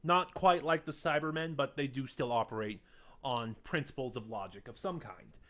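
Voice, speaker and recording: Man giving a halting, casual review. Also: medium pitch, pushed and bright. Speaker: audio drama reviewer on a video channel. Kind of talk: casual unscripted review monologue to viewers.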